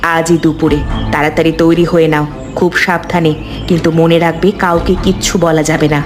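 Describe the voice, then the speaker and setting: A woman's voice: sits low, level tone, normal speed, music in the background, close to an everyday voice. Woman as a firm, protective cartoon elder sister. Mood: determined, secretive. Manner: firm, reassuring, urgent. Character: resolute, protective elder sister-in-law, young woman taking charge. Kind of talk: dramatic Bengali cartoon voice acting.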